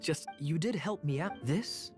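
An actor playing a sly young knight captain in a video game, smooth, unhurried and wryly amused. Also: male voice, a little high, animated, over music. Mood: smooth, playful.

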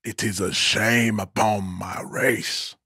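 Haughty hulking game strongman, slow and husky, grandiose speeches. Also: on the low side, fairly even intonation, sharp tone, no room sound, studio quality, full character voice. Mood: haughty, declamatory. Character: pompous, stoic, hulking male race-theorist strongman. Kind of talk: video game character acting.

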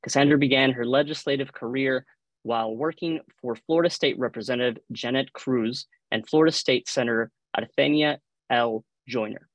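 A man's voice: mid-range voice, no echo, low-quality recording. Male moderator with Cuban accent, dry sound. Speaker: panel moderator. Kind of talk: formal scripted introductions, reading biographies. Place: indoor room on a video call. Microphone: video call microphone.

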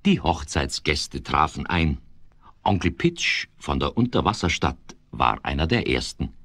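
A man's voice: a bass voice, a bit bright, breathy edge, dry recording, full character voice. A man narrating a children's audio play as a scripted storyteller.

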